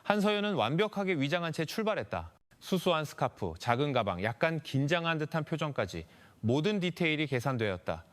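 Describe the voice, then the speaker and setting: A man delivers scripted dramatic narration with serious suspense. His voice sits fairly high and moves with a very lively, varied melody, as a storyteller would. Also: a little fast.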